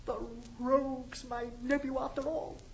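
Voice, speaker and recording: An actor playing a shrewd, sentimental old English uncle in a classic stage comedy, speaking in an exclamatory, theatrical manner. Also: male voice, extremely high pitch, level tone, unhurried, rough recording. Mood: moved, fond. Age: older. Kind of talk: classic stage comedy acting with muttered asides.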